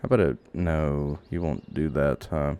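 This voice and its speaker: A man giving casual gameplay commentary, thinking aloud in a halting, rambling way and swearing now and then. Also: extremely low pitch, mellow, clear, resonant tone. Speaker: game streamer. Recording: audible background noise, clicks.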